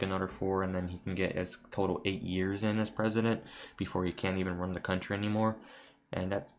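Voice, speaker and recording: A young American man with a fairly low voice talks informally to his viewers. His delivery is rambling and offhand, like casual video commentary. The home recording has some noise behind the voice. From United States. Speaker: video creator. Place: room at home.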